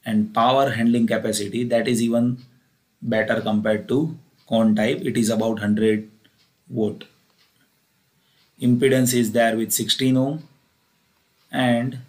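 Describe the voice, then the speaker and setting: A man's voice: on the low side, darkish tone, poor audio. A man with an Indian accent giving a plain, methodical technical lecture, repeating key phrases as he explains. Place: voice-over for a screen recording.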